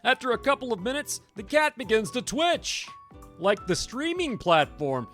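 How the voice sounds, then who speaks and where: A woman streamer narrates a visual novel in a high, animated voice. She tells the story expressively and dramatically, with soft game music behind her. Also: normal speed.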